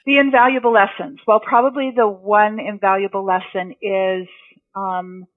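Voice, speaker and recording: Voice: medium pitch, steady tone, normal speed, no room sound, phone-quality sound. An interview guest answering in a plain, matter-of-fact way. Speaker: animal emergency response guest.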